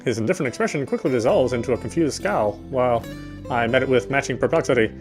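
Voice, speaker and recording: Fast-reading man narrating over soft guitar. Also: medium pitch. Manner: stumbles and rereads phrases. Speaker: game player reading aloud. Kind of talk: scripted narration read aloud. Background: soft guitar game music.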